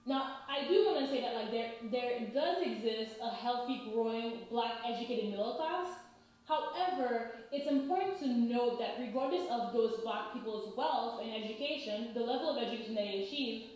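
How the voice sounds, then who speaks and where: A woman in her thirties from Haiti, explaining in a measured, lecturing way and often checking in with "right?". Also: on the high side, animated, darkish tone. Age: 30s. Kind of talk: explanatory talk. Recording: very echoey room.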